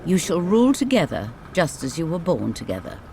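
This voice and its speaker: A storyteller voicing a grave, authoritative nobleman in a fairy tale, with formal, measured speech. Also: huge pitch swings, a little mellow. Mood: solemn. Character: Lord Grath, grave commanding adult nobleman, the dead Emperor's brother. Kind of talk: bedtime fairy tale character reading. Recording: clear background rain and rumble noise.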